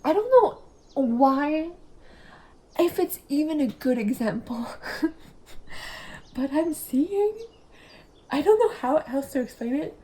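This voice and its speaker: A young woman whose first language is French, giving a casual tarot reading in a chatty, giggly, rambling way and sometimes dropping to a soft whisper. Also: high voice, animated, takes it slowly, long pauses, a little hiss or hum. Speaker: tarot reader.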